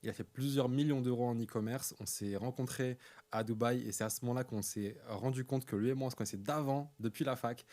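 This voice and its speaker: A young male host who talks quickly and with energy, firing off questions and hammering points home by repeating words. His voice sits at an ordinary pitch. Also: a little mellow. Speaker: host and e-commerce coach. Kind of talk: casual interview hosting.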